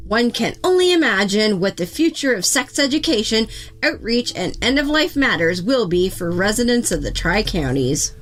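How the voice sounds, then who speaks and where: Woman as a wry, lively satirical news anchor. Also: mid-range voice. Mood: sarcastic. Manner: dry, sarcastic newsreader delivery. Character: wry, skeptical female news anchor of satirical local broadcast. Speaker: co-host. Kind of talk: scripted satirical newscast.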